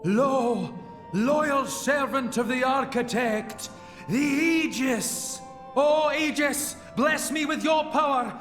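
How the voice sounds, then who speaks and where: A heroic legend on a game's theatre stage. The voice is very high for a man and declaims slowly in grand archaic style. It rings through a big hall over music. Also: fairly bright tone, heavily acted. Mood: fervent pleading. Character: noble heroic male legend, pleading grandly, in a stage play.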